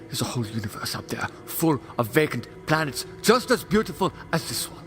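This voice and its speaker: A man's voice: fairly high pitch, very animated, fairly quick, fairly bright tone. A man playing an argumentative alien time-traveller hero in an audio drama, impassioned, sardonic and lecturing. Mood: pleading, impassioned. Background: dramatic music.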